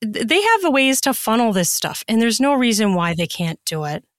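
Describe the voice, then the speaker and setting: A woman's voice: a little high, very animated, normal speed, dry recording, studio quality. A woman chatting casually and emphatically as a guest in a podcast interview.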